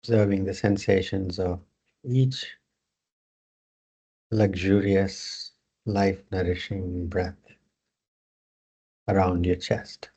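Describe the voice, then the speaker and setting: Slow, deep-voiced man guiding meditation. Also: steady tone, dark tone, slightly rough, low-quality recording. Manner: unhurried, with long pauses between short phrases. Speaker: yoga nidra meditation teacher. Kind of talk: guided meditation instruction.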